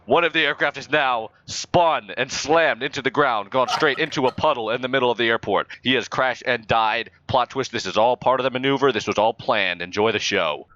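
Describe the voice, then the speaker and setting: A man speaking as a mock-official air traffic controller and announcer, improvising in a deadpan manner. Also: mid-range voice, brisk, hardly any pauses, lo-fi sound. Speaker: flight simulator player acting as air traffic controller and airshow announcer. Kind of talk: improvised radio talk and mock commentary.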